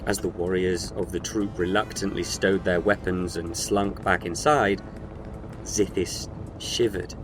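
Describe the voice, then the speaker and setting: Deep-voiced male story narrator. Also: steady tone, unhurried, background noise. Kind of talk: scripted short story narration.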